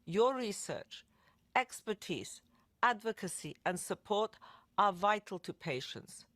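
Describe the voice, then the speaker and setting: Woman, formal prepared speech, measured, middle pitch, dry recording. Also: expressive, fairly bright tone, dry recording. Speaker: event moderator.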